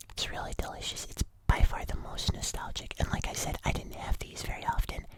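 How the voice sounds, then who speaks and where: An ASMR host speaks entirely in a soft, breathy whisper. The delivery is casual and chatty, like an informal review. There is a wry, understated touch to the phrasing. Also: low-quality recording.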